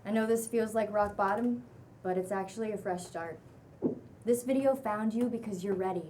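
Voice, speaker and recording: A woman playing a calm, friendly but stern non-binary social media guru in their thirties at a script table read, earnest and measured. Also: mid-range voice, close to an everyday voice. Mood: urging, reassuring. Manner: earnest, measured motivational delivery. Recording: distant mic, faint room noise.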